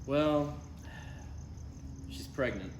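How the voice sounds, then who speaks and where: A man playing an exasperated, defensive son in his thirties in a live comedy table read, arguing in a halting, incredulous way. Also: a little high, lively intonation. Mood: nervous, incredulous. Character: Ryan, exasperated thirty-six-year-old son, needling and defensive. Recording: roomy live recording, faint background noise.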